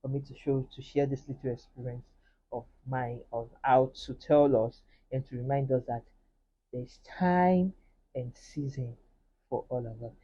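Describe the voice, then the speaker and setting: A woman's voice: extremely low pitch, a little slow, mellow, breathy edge, highs cut off. A woman with a Nigerian accent, earnestly sharing a personal faith testimony in a casual vlog and speaking straight to her viewers. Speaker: vlogger.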